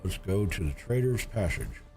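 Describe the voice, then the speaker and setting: A man's voice: deep voice, very animated, normal speed, a little mellow, faint music behind. A man streaming a game and chatting to viewers, rambling with lots of fillers and restarts while getting over a cold. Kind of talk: casual gaming livestream chat.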